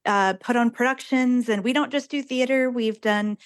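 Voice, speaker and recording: A woman's voice: a little high, fairly even intonation, moderate pace. A woman speaking casually and earnestly as an interviewed theater director, with an enthusiastic manner.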